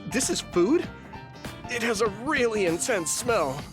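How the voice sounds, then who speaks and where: A man playing an earnest, steady young soldier in a video game, speaking in a measured, kindly way. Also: sits high. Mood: exasperated. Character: earnest young male soldier, kind, steady, easily exasperated. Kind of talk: English video game voice acting. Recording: music behind the voice.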